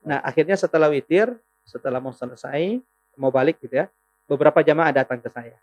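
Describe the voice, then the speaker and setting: A man's voice: fairly high pitch, lo-fi sound. A man from South Sulawesi with a Makassar accent, a guest Islamic preacher telling his story and giving earnest religious advice on a podcast. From South Sulawesi, Indonesia. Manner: earnest, unhurried storyteller who turns into forceful exhortation.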